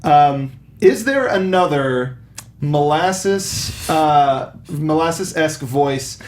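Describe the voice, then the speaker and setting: A man hosting a casual film review chat, animated and enthusiastic with halting phrasing. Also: a little high. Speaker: talk show host. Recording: clear background noise.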